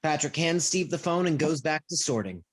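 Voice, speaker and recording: A man's voice: a little high, lively intonation, moderate pace. A man reading stage directions as scripted narration for a comedy play reading. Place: remote reading over a video call.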